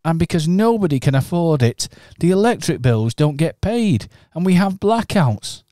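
A man acting in a local radio pantomime, earnest and expressive in his storytelling. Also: fairly high pitch, moderate pace, no room sound, clean studio sound. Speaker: pantomime narrator and actor.

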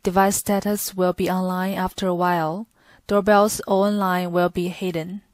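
Flat-toned woman narrating instructions. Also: lowish voice, moderate pace, dry recording. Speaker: product tutorial narrator.

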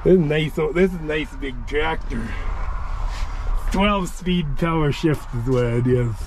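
A man's voice: a little high, unhurried. Folksy man touring an outdoor auction yard. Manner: folksy, plainspoken, fond of old machinery. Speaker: farm equipment vlogger. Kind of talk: casual walkaround commentary. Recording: noisy outdoor camera recording.

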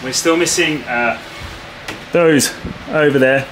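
A man in his thirties casually explaining his boat restoration to a handheld camera, relaxed and full of little fillers. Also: fairly high pitch, slow, background noise. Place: open-sided wooden workshop. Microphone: handheld camera at arm's length.

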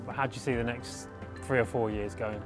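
A man's voice: mid-range voice, fairly even intonation, fairly quick. A man working as a club media interviewer, putting brief, friendly questions to young players. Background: background music.

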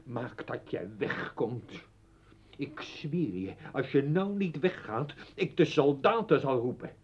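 An indignant mocking professor in an old radio drama, raspy, big swings in pitch, lo-fi. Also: male voice, lively intonation, takes it slowly. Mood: angry, threatening. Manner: mocking, oratorical. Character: scornful, indignant professor, a husband defending his household. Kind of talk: Dutch radio play acting. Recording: dull old radio recording.

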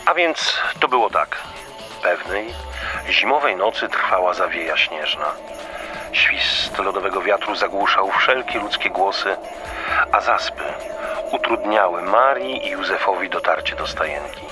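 An actor playing a burnt-out, talkative reindeer who grumbles self-importantly as a phone-in caller in a radio play. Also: male voice, deep voice, lively intonation, unhurried, music in the background. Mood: solemn storytelling. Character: talkative burnt-out male reindeer, aggrieved ex-star.

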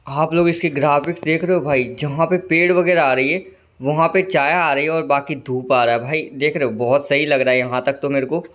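A man with a slightly hoarse throat giving casual, chatty commentary over a train simulator game, often calling viewers "brother". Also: a little high, steady tone, a little fast, fairly bright tone. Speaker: gaming channel host.

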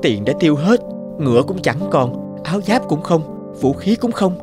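A man's voice: on the high side, big pitch swings, normal speed, music in the background. A man voicing a kind, earnest young knight in a cartoon. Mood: dejected.

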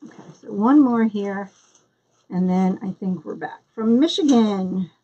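Slow, husky-voiced woman with a Philly accent. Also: medium pitch, dark tone, muffled recording. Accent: Philadelphia. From Philadelphia area, US. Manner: chatty, greets viewers by name while working. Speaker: craft tutorial host. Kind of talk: live-stream craft demonstration.

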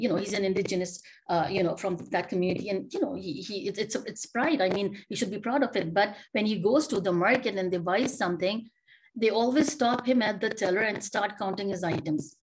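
A woman's voice: medium pitch, a little fast, a little hiss or hum, low-quality recording. Earnest woman on a video call. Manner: earnest, questioning, many rhetorical questions and restarts. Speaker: panelist. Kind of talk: panel discussion remarks. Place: home office, indoors.

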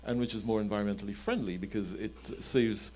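A man's voice: on the low side, fairly even intonation, brisk. A man in his fifties speaking as an executive panelist, explaining concrete points at a conference into a handheld microphone. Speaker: panelist, company chief executive. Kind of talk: panel discussion talk, explaining. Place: indoor conference event on a stage.

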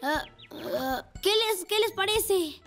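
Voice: extremely high pitch, expressive, slow pace, over loud music, exaggerated voice. An actor voicing an eager, earnest young boy motorbike in a children's cartoon, proud but a little insecure. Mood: flustered, boastful. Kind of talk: animated children's cartoon dub.

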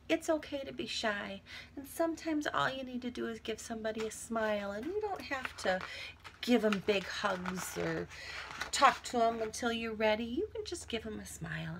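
Woman, middle-aged, teacher's story-time read-aloud, slow delivery, expressive emphasis, chatty asides, faint background noise. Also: a little high, creaky. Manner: expressive, acts out feelings with emphasis and whispers. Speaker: teacher reading to young children. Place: small cluttered room at home, indoors.

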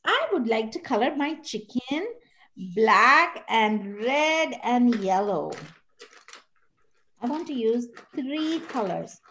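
A middle-aged woman leads an online preschool lesson, coaxing little children and singing out animal noises. Her voice sits high and swoops widely, and she takes her time. The video-call sound carries a faint hiss. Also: expressive, slow pace, a bit bright. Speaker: preschool teacher. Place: classroom-like room with posters, indoors.

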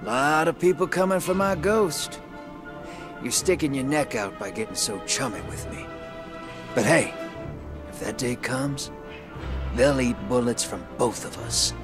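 A man's voice: mid-range voice, measured pace, long pauses, close to an everyday voice. An actor playing a sly, grizzled male gunslinger and smuggler in a video game, talking in a drawling, sardonic, teasing way. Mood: wry. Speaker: game character. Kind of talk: video game cutscene dialogue. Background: game music.

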